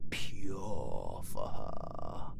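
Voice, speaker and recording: A British man voicing a skeletal undead necromancer in an audio roleplay, a vengeful, flirtatious villain with a creaky, melodramatic delivery that moves between hushed and screamed. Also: rumbling low, huge pitch swings, very slow, noisy background, exaggerated voice. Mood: musing, incredulous. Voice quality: creaky, breaking into shrieks and whispers. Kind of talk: audio roleplay acting, ASMR-leaning.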